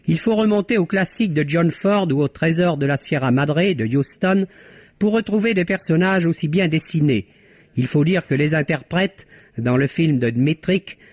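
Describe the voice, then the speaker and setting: A man reading a scripted film review on an old radio broadcast, in a measured, articulate way. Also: on the high side, a little mellow, no room sound. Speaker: film critic. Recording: dull lo-fi archive radio recording.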